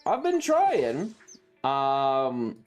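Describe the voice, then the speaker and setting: Slow, halting streamer with swooping pitch. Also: huge pitch swings. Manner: rambling, halting, wry sarcasm. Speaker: livestreamer. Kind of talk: casual livestream chat while gaming. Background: music.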